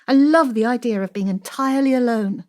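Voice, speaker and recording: A woman's voice: on the high side, brisk, a little mellow, close to an everyday voice. An actress playing a proud, controlling middle-aged woman banker in an audio drama, assertive and dismissive. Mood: self-assured.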